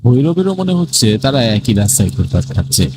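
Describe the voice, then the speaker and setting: A man's voice: medium pitch, very animated, brisk. A man narrating a scripted ghost story in a dramatic storytelling style. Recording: clearly noisy, ambient sound behind voice.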